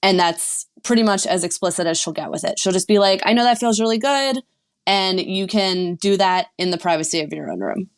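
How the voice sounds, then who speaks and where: A woman's voice: mid-range voice, a little fast. Frank woman coach giving advice. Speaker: sex and relationship coach, guest. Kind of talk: podcast interview.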